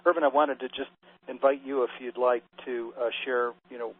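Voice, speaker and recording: Flat-voiced man hosting over a phone line. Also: mid-range voice, brisk. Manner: relaxed, lots of uh fillers. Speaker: radio program host. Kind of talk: hosting a call-in panel. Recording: husky tinny phone line.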